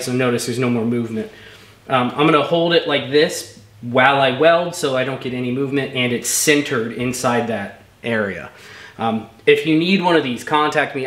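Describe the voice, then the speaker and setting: A man's voice: mid-range voice, reverberant. A man casually walking through a build at his workbench, explaining each step with plenty of fillers. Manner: relaxed and explanatory, lots of fillers. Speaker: gunsmith vlogger. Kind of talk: casual workshop tutorial. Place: workshop with workbench and vise.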